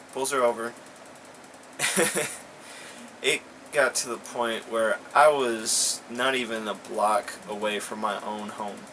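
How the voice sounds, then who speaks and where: A man's voice: mid-range voice, sharp tone, slight background noise, low-quality recording. A young man ranting bluntly and sarcastically in a casual chat. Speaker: co-host.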